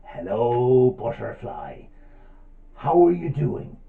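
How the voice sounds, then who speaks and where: A man's voice: medium pitch, big pitch swings, takes it slowly, vocal fry, heavily acted. A man voicing a sly, hungry spider in a children's story, taunting in a sing-song way. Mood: falsely friendly. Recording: thin phone-like sound.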